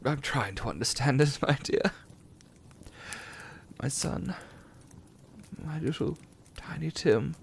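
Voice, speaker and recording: An actor playing a gentle, grieving father in an audio drama, speaking tenderly and haltingly. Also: a little high, expressive, vocal fry, slight background noise, full character voice. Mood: sorrowful.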